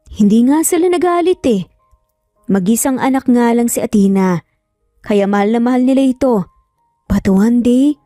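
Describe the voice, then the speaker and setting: A woman voicing a calm, protective young man, a loyal friend who talks gently and in measured phrases in an audio story. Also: a little high, no echo, clean studio sound, natural voice.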